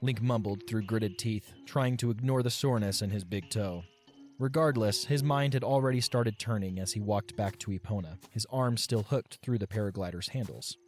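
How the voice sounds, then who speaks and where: A man delivers scripted story narration for an audio drama. His voice sits somewhat low, and music plays softly behind his reading. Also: moderate pace. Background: background music.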